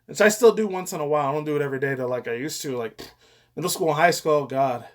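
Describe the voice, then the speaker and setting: A man's voice: on the high side, fast. A man in his thirties giving casual, rambling reaction commentary as a YouTuber, frank and profane. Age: 30s. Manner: crude, frank, profane, chuckling asides.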